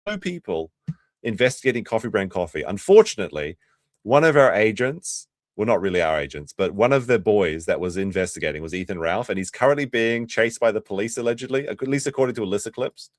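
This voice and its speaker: A man's voice: fairly low pitch, animated, fast talker, dry recording, clean studio sound. An Australian man hosting a casual livestream, chatty and sarcastic, reacting to clips and laughing often.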